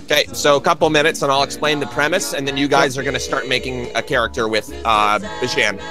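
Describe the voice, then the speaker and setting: A man with a mid-pitched voice hosts a livestream in loose, informal chat. Music plays clearly behind his voice. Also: steady tone, quick pace. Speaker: livestream host.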